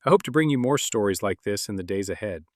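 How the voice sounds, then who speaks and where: A middle-aged man addresses listeners as a friendly host signing off. His voice sits a little low, recorded dry and close. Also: expressive, quick pace, darkish tone, no echo. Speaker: channel creator and host. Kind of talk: friendly scripted sign-off to listeners.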